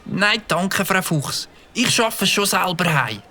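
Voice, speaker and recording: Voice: medium pitch, expressive, unhurried, pushed and bright, faint music behind. An actor voicing a fed-up young male beaver in a cartoon, grumbling. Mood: queasy. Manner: grumbling, exasperated.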